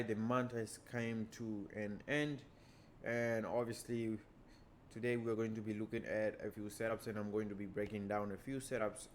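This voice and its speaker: A fairly low-pitched man whose first language is Portuguese talks viewers through price charts in a loose, casual lesson. He keeps it relaxed and keeps returning to the same stock phrases. A faint hum of ambient noise sits under his voice. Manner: relaxed, repeats stock phrases like "obviously" and "let us dive straight to the charts". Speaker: trading educator.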